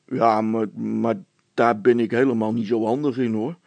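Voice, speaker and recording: Man playing storybook policeman, blustering, secretly scared, stammering, repetitive, creaky rasp. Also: medium pitch, flat delivery, darkish tone, dry recording, natural voice. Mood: reluctant, flustered. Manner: halting, stammering, repeats himself.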